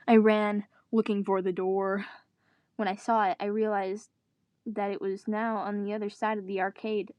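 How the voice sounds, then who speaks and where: A woman's voice: mid-range voice, darkish tone, no echo. A woman reading a story aloud dramatically, with joking asides in her own voice. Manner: breaks character to groan and joke. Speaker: reader of fan fiction.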